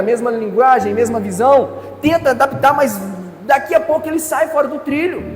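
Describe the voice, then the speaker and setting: A man preaching a sermon as pastor, telling a story playfully and acting out its characters in different voices. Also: very high-pitched. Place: church hall. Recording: echoey church hall with faint music.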